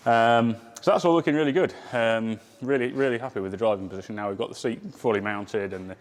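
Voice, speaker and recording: A man with a cold and a hoarse voice, casually walking through a car build in a workshop with plenty of ums. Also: medium pitch, fast talker. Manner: relaxed, lots of ums.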